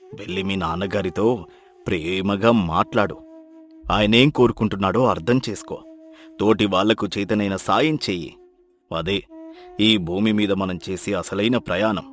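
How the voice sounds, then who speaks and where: An actor playing a kindly old blind village mentor who speaks in a measured, fatherly, moralizing way in a dramatized story. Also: fairly low pitch, a bit bright, soft background music, close to an everyday voice. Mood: calm, wise. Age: older.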